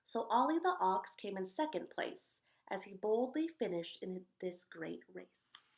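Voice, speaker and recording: A woman with a medium-pitched voice reads a picture book aloud for children. She gives the storybook lines expressive emphasis, with a casual, conversational touch as host. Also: a little slow, noisy background.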